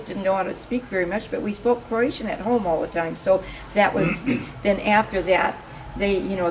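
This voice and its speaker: Older woman interviewee, lowish voice, chatty and rambling, faint background hiss. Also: a little mellow, low-quality recording. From Seattle, USA. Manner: chatty, meandering, many restarts. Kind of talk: reminiscing interview talk.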